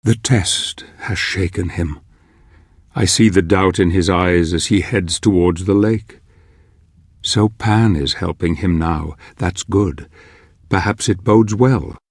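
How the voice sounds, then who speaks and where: An actor playing a brooding, resolute watcher, giving theatrical, ominous narration in a fantasy video game. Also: male voice, low-pitched, slow, breathy edge, no echo, clean studio sound, full character voice. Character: brooding male watcher narrating a hero's fate, grave and resolute. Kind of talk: dramatic scripted game narration.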